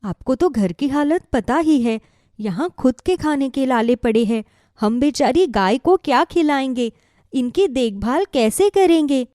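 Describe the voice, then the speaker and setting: A woman voicing a worried, practical village wife in an animated moral story, earnest and questioning. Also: sits high, unhurried, no echo, clean studio sound.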